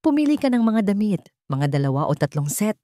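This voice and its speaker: A woman's voice: medium pitch, very animated, brisk, warm tone, no room sound, studio quality, lightly acted. A woman voicing a cold, commanding rich young male boss who gives clipped orders. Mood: curt.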